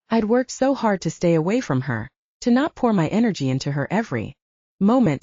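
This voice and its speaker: A woman's voice: on the low side, darkish tone, slightly rough, no echo, highs cut off. A woman narrating a first-person story as a scripted audiobook reading.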